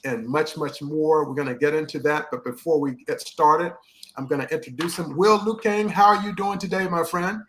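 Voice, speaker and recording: A man's voice: fairly high pitch, a bit bright. A man hosting a live-streamed interview podcast, enthusiastic and repeating words for emphasis. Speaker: podcast host.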